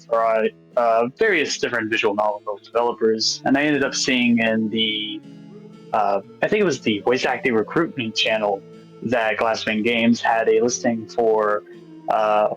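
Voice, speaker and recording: A man's voice: fairly low pitch, steady tone, normal speed, fairly bright tone, highs cut off, faint music behind. A man being interviewed as a new voice actor and artist, chatting in an easygoing, slightly hesitant way. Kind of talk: casual chat, recounting how they got involved.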